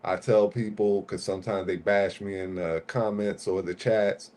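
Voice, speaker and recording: A man's voice: deep voice, fairly even intonation, moderate pace, darkish tone. A man born in Puerto Rico and raised in Newark, New Jersey, a panel regular talking in casual livestream chat, laid-back with many "you know" fillers.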